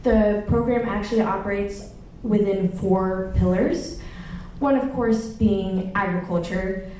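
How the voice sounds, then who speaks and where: A woman from Chile reads a prepared public comment in an earnest, formal way. Her voice is mellow and soft-edged. It comes from a distance in a very echoey meeting room with faint room noise. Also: mid-range voice. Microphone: lectern mic, heard from a distance.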